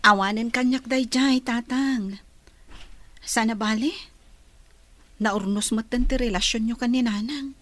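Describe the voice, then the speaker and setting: Young Ilocano Filipino woman played by an actress, high voice, dutiful daughter, forgiving, radio drama. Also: fairly even intonation, measured pace, long pauses, fairly bright tone. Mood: tender. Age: young adult.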